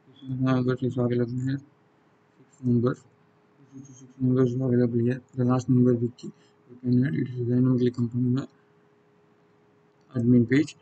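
A man with a South Indian accent and a husky voice, giving an unscripted software walkthrough in halting, non-fluent phrases. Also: mid-range voice, dull audio, slight background noise. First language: Tamil. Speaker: software demonstrator. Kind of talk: unscripted screen-recorded tutorial walkthrough.

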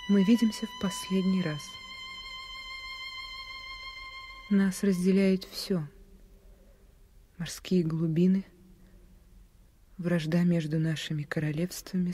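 A woman's voice: on the low side, dark tone, close to an everyday voice. An actress playing a dignified, sorrowful queen in a radio drama, speaking with solemn, regal restraint. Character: dignified, sorrowful queen resisting forbidden love. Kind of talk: theatrical radio drama acting. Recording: radio play with music underneath.

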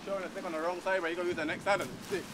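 A man's voice: sits high, level tone, very fast, over a noisy crowd. A man hunting iguanas outdoors, talking in loose street slang and calling out directions to his crew. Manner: loose street slang, calls others brother and bro, barks directions. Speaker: iguana hunter leading a crew. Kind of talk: casual outdoor talk. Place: outdoors near trees.

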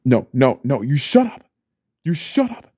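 A man performing an ASMR roleplay as a stressed single father, grumbling under his breath and then yelling in anger. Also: medium pitch, sing-song, unhurried, no echo, clean studio sound. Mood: angry. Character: stressed single father, short-tempered, drinking.

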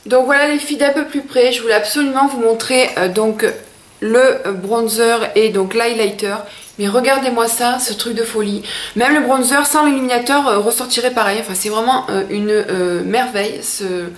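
A woman's voice: on the high side, moderate pace, roomy sound. A woman in her thirties chatting enthusiastically to camera about makeup in a room at home, talking to her viewers as girls. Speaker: beauty vlogger. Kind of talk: casual makeup review to camera. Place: small room at home, indoors.

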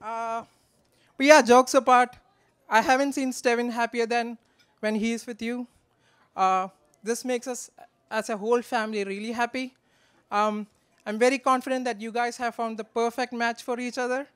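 High, clear-voiced young man. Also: steady tone, fairly bright tone. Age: 20s. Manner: joking, affectionate, halting delivery. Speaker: best man, groom's brother. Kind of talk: humorous wedding toast read from notes. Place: wedding reception in a large draped marquee. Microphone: handheld microphone.

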